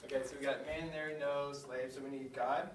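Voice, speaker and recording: A man's voice: mid-range voice, flat delivery. A man leads a classroom lesson, thinking aloud as he works through each example. He sounds far from the camera, in a reverberant room with a faint background hum. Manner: thinking aloud, slow deliberate spelling-out of words. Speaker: teacher. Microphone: camera at a distance.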